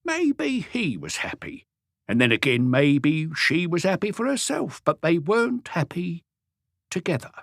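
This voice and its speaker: A man's voice: a little high, huge pitch swings, measured pace, gravelly, no echo, studio quality, voice pushed up, exaggerated voice. A man voicing a wry, evasive old house painter with colloquial speech, in an audiobook story. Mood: knowing, skeptical. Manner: colloquial, evasive, answers questions with questions. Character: cagey old Parisian workman, stocky house painter, wry.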